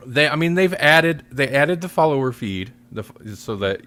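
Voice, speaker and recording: A man's voice: a little high, expressive, normal speed, pushed and bright, clear, resonant tone. A man podcast host in casual, rambling chat, often correcting himself.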